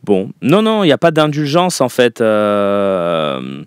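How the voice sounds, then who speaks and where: A man's voice: fairly low pitch, very animated, slow, smooth, dry recording, clean studio sound. A man hosting a football call-in show, giving emphatic, opinionated takes with frequent fillers. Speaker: radio call-in show host. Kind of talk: casual football phone-in talk.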